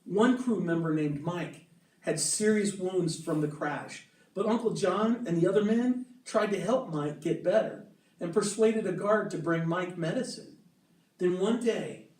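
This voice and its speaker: A man reading the part of a gentle, solemn mother in a storybook, explaining earnestly and in measured phrases to her children. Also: high voice. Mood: earnest, sombre. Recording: roomy echo, distant mic, music behind.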